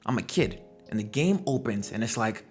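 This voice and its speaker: A man's voice: lowish voice, lively intonation, moderate pace, soft background music. A man hosting a gaming commentary video, talking in a conversational, slangy way and throwing out rhetorical questions. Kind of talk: casual opinionated video commentary.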